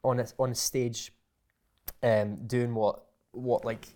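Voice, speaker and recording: Man, interview guest, hesitant, repeats words, mid pitch, casual. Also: little pitch movement, takes it slowly, a little mellow. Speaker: guest, aspiring motivational speaker.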